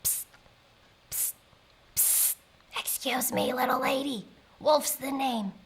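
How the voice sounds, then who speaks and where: A sly storybook wolf, acted out by a woman, speaking in a hushed, confiding way. The wolf is polite and smooth-talking, like someone offering secret advice. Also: high voice, measured pace, full character voice. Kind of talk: children's storybook character voice.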